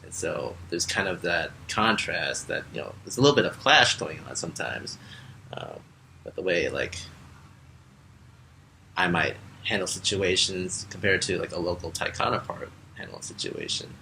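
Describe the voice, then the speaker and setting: Young man, very low gravelly voice, laid-back interview talk, faint noise behind. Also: moderate pace, poor audio. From United States. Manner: relaxed, many fillers. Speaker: Peace Corps volunteer. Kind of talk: casual interview answers.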